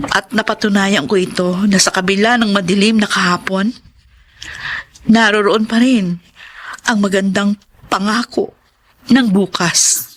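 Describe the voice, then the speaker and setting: Radio drama casting: actress as devoted wife, mid-pitched, earnest and resolute, slightly noisy track. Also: female voice, a bit bright, natural voice. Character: devoted wife determined to keep her marriage steady. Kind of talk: Tagalog radio drama acting.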